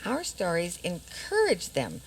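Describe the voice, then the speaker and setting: A defiant storybook princess, acted by a woman, speaking bluntly with a creaky edge. Her pitch moves around a lot, over faint noise, in an amateur radio play. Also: huge pitch swings, a little slow, heavily acted, poor audio. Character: blunt, defiant fairy-tale princess, impatient and practical. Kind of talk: community radio theater acting.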